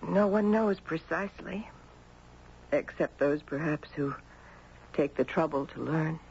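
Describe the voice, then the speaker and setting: An actor playing a knowing, mysterious man who speaks in a measured, cryptic way in a 1970s radio drama. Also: a little high, creaky. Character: knowing, mysterious speaker telling of a wild talent. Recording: dull, noisy old radio recording.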